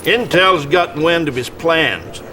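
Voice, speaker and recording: An actor playing a folksy, gruff older American army commander giving plain-spoken, emphatic orders in a game cutscene. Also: male voice, fairly high pitch, unhurried, sharp tone. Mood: determined. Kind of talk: acted game cutscene briefing.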